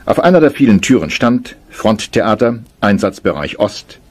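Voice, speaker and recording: A man's voice: fairly low pitch, big pitch swings, normal speed, husky. A man narrating a radio drama in a scripted storytelling style.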